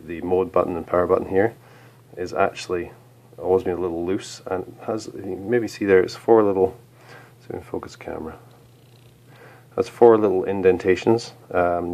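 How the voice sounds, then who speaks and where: A man casually reviewing a flashlight for his channel, relaxed, with many ums and uhs, just over a bad cold. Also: sits low. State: recovering from a cold.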